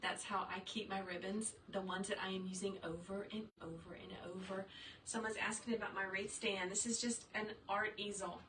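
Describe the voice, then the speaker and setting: A woman's voice: medium pitch, steady tone, normal speed, fairly bright tone. Chatty woman teaching crafts, roomy sound. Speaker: wreath maker teaching a craft. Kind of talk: casual livestream tutorial reading viewer comments. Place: her home craft workshop. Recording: roomy, distant sound with faint room noise.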